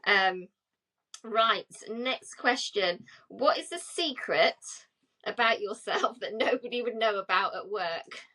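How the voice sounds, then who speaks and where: A woman in her forties from England chats easily on a podcast. She fills her talk with ums and yeahs and laughs often, at a middling pitch. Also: takes it slowly, sharp tone, poor audio. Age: 40s. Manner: chatty, laughs often, many fillers. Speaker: podcast co-host. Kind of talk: casual chat.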